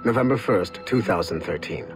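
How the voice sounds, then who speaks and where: An actor playing a grim Templar agent dictating case reports in a clipped, formal, methodical way. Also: male voice, deep voice, lively intonation, normal speed. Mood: grave, businesslike. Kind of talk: scripted game voice-over, dictated field report. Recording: loud music behind the voice. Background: loud music.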